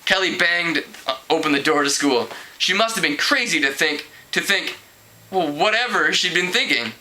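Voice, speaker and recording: A man's voice: fairly high pitch, expressive, moderate pace, pushed and bright, rough recording. A man casually reading a teen novel aloud as an amateur, stumbling over words and repeating phrases. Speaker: amateur book reader.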